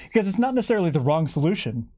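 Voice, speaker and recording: A man speaking as a guest game developer in a casual interview, animated and jokey, thinking aloud and slipping into imagined dialogue. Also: a little high, rapid-fire, dry recording, clean studio sound. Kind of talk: lively casual interview chat.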